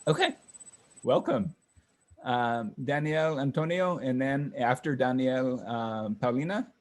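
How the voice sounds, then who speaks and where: A man hosting an online session, calling on participants one by one in a relaxed, casual way with many fillers. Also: mid-range voice, takes it slowly. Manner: relaxed, friendly, lots of fillers. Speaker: host of online class session. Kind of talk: casual introductions on a video call. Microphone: video call.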